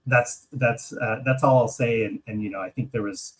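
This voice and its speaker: An American man co-hosting a podcast, talking casually about politics and thinking aloud in a hesitant, halting way. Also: medium pitch, steady tone, a little hiss or hum. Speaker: podcast co-host.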